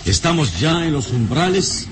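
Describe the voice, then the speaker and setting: A man playing a calm, self-assured adventurer hero in a composed radio serial manner. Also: on the high side, a little slow. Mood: calm, watchful. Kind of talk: old radio serial acting. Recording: noisy radio drama ambience.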